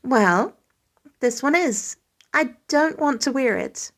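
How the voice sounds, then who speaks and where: A woman's voice: high voice, expressive, slow, a bit bright, full character voice. A woman voicing a cheery, stubborn teenage boy with an eager, informal way of talking, in a story reading. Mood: sulky, defensive. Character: cheery, stubborn sixteen-year-old boy doting on baby sister.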